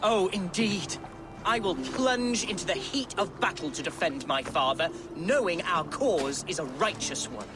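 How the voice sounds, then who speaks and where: A man playing an earnest, pious young noble lord in a video game, with formal, high-flown speech. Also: animated, measured pace, music in the background. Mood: fervent. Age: young adult. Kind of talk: dramatic video game acting.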